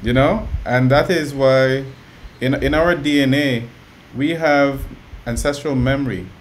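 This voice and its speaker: A man talking as a guest, calmly explaining ideas and often checking in with "you know.". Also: mid-range voice, unhurried, slight background noise. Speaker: guest musician and educator. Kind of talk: relaxed conversational storytelling.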